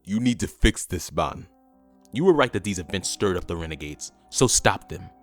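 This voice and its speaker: A man's voice: deep voice, lively intonation, moderate pace, faint music behind. A man playing a domineering, impatient villain in an audio drama, with a curt, commanding way of speaking. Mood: forceful, demanding. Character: domineering, impatient male villain with ruthless ambition.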